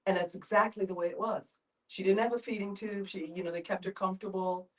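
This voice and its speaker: A woman's voice: mid-range voice, steady tone, brisk. A woman sharing personal reflections in a group discussion. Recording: voice picked up from a distance.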